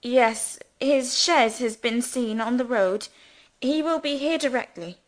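A woman reading the lines of an anxious, gentle young man. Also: fairly high pitch, slow, pitched above the performer's own voice. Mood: earnest.

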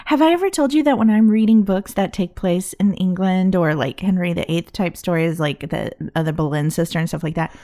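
A woman's voice: lowish voice, fairly quick, hardly any pauses, dark tone. A woman co-hosting a comedy podcast in casual banter, with a giggly, playful manner. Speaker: podcast co-host.

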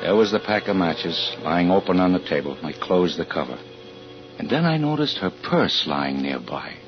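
An American man playing an anxious, suspicious husband narrating a 1940s radio suspense drama, with deliberate, clipped delivery. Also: low voice, very animated. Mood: grim, tense. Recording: muffled 1940s radio recording with music.

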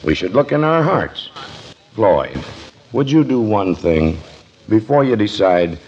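A man acting in 1940s radio comedy as an earnest, conciliatory club member who gently persuades, on an old recording. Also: lowish voice, sing-song, takes it slowly, muffled recording, slight background noise, heavily acted. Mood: earnest pleading.

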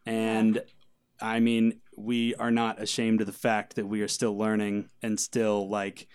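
Clear, lowish-voiced man chatting. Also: completely flat delivery, measured pace, darkish tone. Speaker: band member being interviewed. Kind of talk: casual chat.

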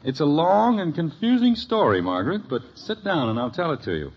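A man's voice: fairly high pitch, sing-song, a little fast, a little mellow. A man playing a wry, kindly but firm middle-aged father in a 1950s radio sitcom. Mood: brisk, firm. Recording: dull, faintly noisy old radio recording.

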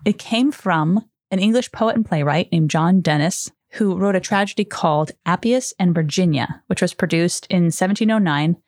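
A woman's voice: fairly low pitch, normal speed, few pauses, a little mellow, dry recording, studio quality. A woman co-hosting a podcast, telling stories in a casual, chatty way and acting out what people said. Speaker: podcast co-host. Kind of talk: casual conversational storytelling.

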